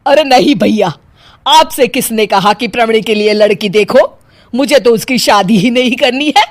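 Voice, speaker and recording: A woman as a high-pitched melodramatic cartoon mother-in-law. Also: normal speed, no echo, lightly acted. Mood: stubborn, defiant. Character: melodramatic, gullible, stubborn middle-aged Indian mother-in-law. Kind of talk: animated moral story acting.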